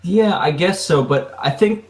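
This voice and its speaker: A young man co-hosting a casual podcast, speaking in dry, deadpan remarks and loose banter. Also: sits high, unhurried. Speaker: podcast co-host.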